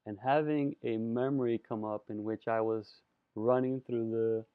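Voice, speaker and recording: Man from Colombia, lecture delivery, expressive, savors words, dry tinny phone-like sound. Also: medium pitch, normal speed, clear, resonant tone, dry recording. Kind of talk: presentation lecture.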